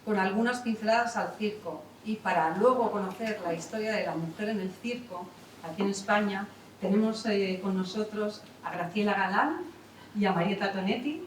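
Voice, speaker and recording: A woman's voice: medium pitch, normal speed, slight background noise. A woman, an artistic director introducing a conference panel in a chatty way, full of fillers and self-corrections. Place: theatre hall. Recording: echoey hall, voice from far off.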